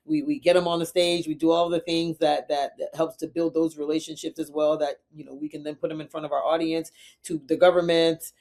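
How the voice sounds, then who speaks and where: A woman's voice: low voice, level tone, fairly quick, a little mellow. A middle-aged woman from Liberia and New York City answering interview questions conversationally, emphatically, often checking in with "right?". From Liberia and the United States, raised in New York City. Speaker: podcast guest, community executive.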